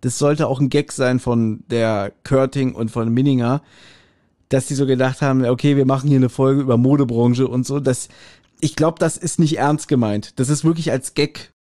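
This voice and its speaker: A man's voice: mid-range voice, steady tone, normal speed, warm tone, no room sound, clean studio sound. A man co-hosting a casual review podcast about audio dramas. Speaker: podcast co-host.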